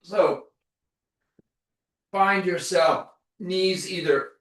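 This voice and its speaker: A man leading a yoga class through breathing exercises, giving slow, calm, measured cues. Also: high-pitched, roomy sound. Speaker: yoga instructor. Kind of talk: guided breathing instruction.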